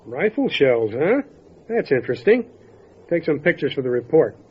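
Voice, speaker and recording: A man playing a calm, dry, matter-of-fact country sheriff in an old-time radio drama. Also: on the high side, moderate pace, breathy edge, full character voice. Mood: grimly matter-of-fact. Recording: dull lo-fi radio drama recording, faint noise.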